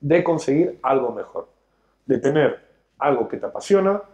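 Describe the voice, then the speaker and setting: A man's voice: mid-range voice, animated, normal speed, darkish tone. Guy in his 30s, talk show studio, preaching his point hard, gesturing a lot. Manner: emphatic, lecturing, gestures a lot while talking. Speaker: financial educator, show host. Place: studio set, seated on a sofa.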